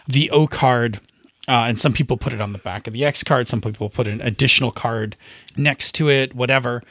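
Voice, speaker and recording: A man's voice: medium pitch, brisk, a bit bright, no room sound. Conversational man co-hosting, dry close sound. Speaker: podcast co-host. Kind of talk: casual chat about tabletop game advice.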